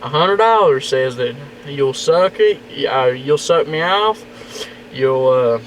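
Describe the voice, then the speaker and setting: A man's voice: medium pitch, expressive, slow pace, rough recording. A man goading and taunting someone in crude prank chat over a CB radio, often repeating what others say. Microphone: CB radio handset. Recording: loud static over CB radio.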